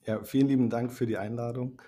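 A man from Thuringia, a startup managing director, explaining things in a relaxed podcast interview with frequent fillers and restarts. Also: medium pitch, fast talker. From Thuringia, Germany.

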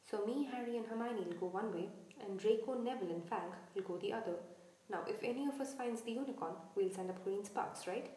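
A woman voicing a gruff, fierce but kindly giant male gamekeeper in a storybook, growling blunt commands in rustic dialect. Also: mid-range voice, a little fast, warm tone, a little hiss or hum, close to an everyday voice. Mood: grave, instructing. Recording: echoey room, distant from mic.

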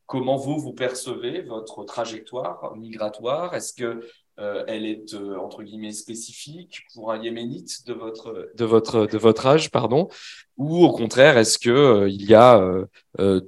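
A man moderating a public talk, asking questions in a halting way while searching for words. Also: lowish voice, darkish tone. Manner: halting, searching for words between two languages.

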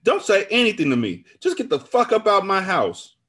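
Man voicing a furious, loud, sassy woman, pitched high. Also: sing-song, moderate pace. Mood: furious, hurt. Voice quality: loud, raised voice. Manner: sassy, confrontational, profane. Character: Alice, furious, foul-mouthed, vengeful woman betrayed by her friend.

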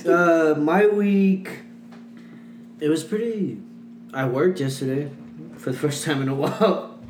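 Young dude chatting on a home podcast, laughs a lot, roomy echo. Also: male voice, on the high side, a little slow, a little mellow, a little hiss or hum. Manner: laid-back, laughs often, swears casually. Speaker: podcast co-host. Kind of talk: casual chat. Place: home room, untreated. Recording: echoey room, voice set back from mic.

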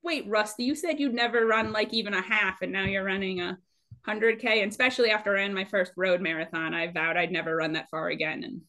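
A woman in her late thirties talks in a loose, conversational way at an average pitch. She comes across as animated and chatty, like a guest swapping stories. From Minnesota, United States. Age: late 30s. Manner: chatty, animated, quick to laugh. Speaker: podcast guest, amateur trail runner. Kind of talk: casual conversational storytelling.

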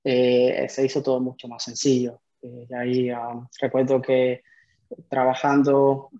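A man in his thirties talks conversationally about his own experiences as an interview guest. His voice is dark and mellow, moving very little in pitch. The recording is a little dull and lo-fi. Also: completely flat delivery, unhurried. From Cuba. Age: 30s. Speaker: podcast guest, entrepreneur. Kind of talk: conversational personal storytelling. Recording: slightly dull, lo-fi audio.

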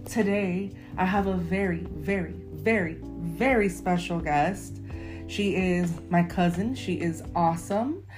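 A woman's voice: fairly low pitch, a little slow. A young woman from Miami hosting a casual podcast, upbeat and gushing, drawing out her emphasis. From Miami, USA. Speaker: podcast host. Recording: echoey room with guitar music.

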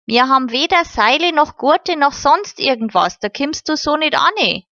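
A woman's voice: high voice, expressive, moderate pace, fairly bright tone, no echo, dull audio, pitched above the performer's own voice. A woman voicing a bossy, cautious boy who talks firmly.

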